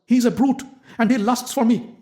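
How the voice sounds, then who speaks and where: A man's voice: extremely high pitch, steady tone, a little fast, a little mellow, pitched above the performer's own voice. Man doing a wronged young woman, pleading, indignant, storybook tale. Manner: pleading, then fiercely indignant. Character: proud, wronged young queen's maid. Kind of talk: storybook character voice.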